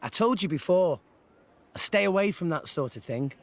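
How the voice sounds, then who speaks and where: An actor voicing an eager, energetic young salvager. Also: male voice, high voice, moderate pace, no echo. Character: cheerful young male salvager. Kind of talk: video game voice acting.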